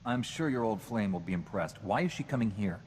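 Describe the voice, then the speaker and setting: Male actor as a deadpan game Jedi, low voice, lively pitch, reverberant room. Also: brisk, slight background noise, lightly acted. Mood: teasing. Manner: dry, deadpan questions. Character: stoic human male Jedi hero, dry and skeptical. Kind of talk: video game dialogue acting.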